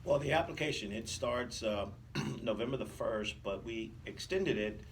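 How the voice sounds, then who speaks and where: A man's voice: medium pitch, normal speed. A man answering interview questions as a police officer, explaining procedures in a hesitant, unscripted way. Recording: distant mic, background room noise.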